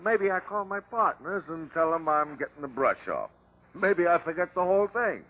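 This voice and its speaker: Male for flippant shady adventurer, slangy tough talk, high lively voice, old radio drama. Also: normal speed, phone-quality sound. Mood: breezy, cocky. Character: cocky wisecracking shady male treasure hunter.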